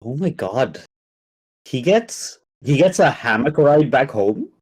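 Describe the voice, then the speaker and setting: A man's voice: medium pitch, expressive, takes it slowly, darkish tone, breathy edge. A man chatting and bantering casually as a player in a tabletop game.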